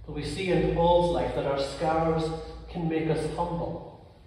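A young clergyman from Northern Ireland who has a stammer, preaching an earnest, personal sermon with slow, weighty pauses in a church sanctuary. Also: fairly high pitch, fairly even intonation, dark tone. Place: large church sanctuary, indoors. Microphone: far camera. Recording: echoey church from far off.

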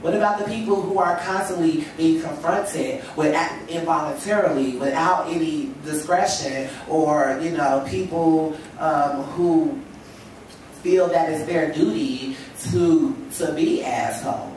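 A woman's voice: low voice, a little slow, a little mellow, slight background noise. Stuffy-voiced young woman in an echoey hall. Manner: emphatic, rhetorical, lots of "you know". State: stuffy from a cold. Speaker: panel speaker. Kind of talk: impassioned panel talk. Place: lecture hall stage at a table. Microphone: table stand mic. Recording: echoey hall, mic from far off.

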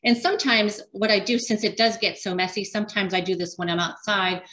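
A woman's voice: mid-range voice, a little fast, bright, forceful tone. Chatty woman giving a cooking demo. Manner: chatty, rambling, frequent ums and restarts. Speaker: dietitian cooking instructor. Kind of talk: casual cooking demonstration.

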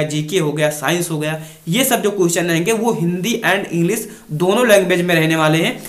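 A man's voice: high voice, a bit bright, reverberant. A young man giving an informal, instructive explainer to students, repeating key points. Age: young adult. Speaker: exam-news YouTuber and coach. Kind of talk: informal explainer addressed to exam aspirants.

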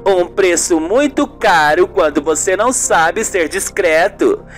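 Performer as a smug, unhurried gossip journalist. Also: medium pitch, expressive. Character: brash, shameless gossip journalist. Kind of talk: amateur radio soap acting. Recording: music bed under the voice.

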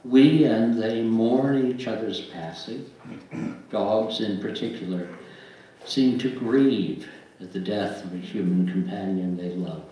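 Slow, echoey older man reading aloud. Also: mid-range voice, darkish tone. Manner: deliberate, measured, pausing between phrases. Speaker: lay reader and book publisher. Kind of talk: reading aloud at a church service. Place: church sanctuary, at a wooden pulpit. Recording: very echoey room, distant mic, faint noise.

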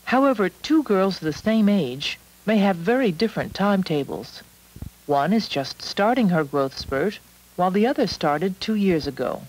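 A woman reading scripted narration for an educational film in a measured, instructional manner. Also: mid-range voice, expressive, a little mellow, rough recording.

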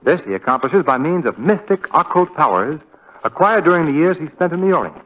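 A man's voice: fairly high pitch, big pitch swings, fairly quick. A man announcing in formal, dramatic 1940s radio style, reading scripted narration. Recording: muffled vintage radio broadcast.

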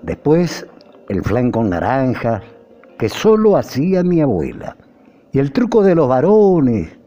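An older Argentine man reciting a nostalgic poem in a measured, declamatory way, with phrases that rise and fall. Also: medium pitch, dark tone. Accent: Argentine Spanish. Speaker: poetry reciter. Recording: dull lo-fi recording with music. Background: music behind the voice.

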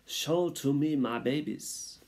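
A man's voice: fairly high pitch, takes it slowly, darkish tone, pitched above the performer's own voice. A man voicing a vain, melodramatic French mother mouse in a storybook, lamenting theatrically in broken phrasing. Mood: exhausted, pleading.

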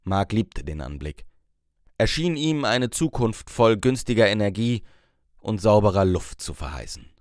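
A man's voice: on the low side, very animated, unhurried, dry recording, clean studio sound. A man reading a scripted story as an audiobook narrator.

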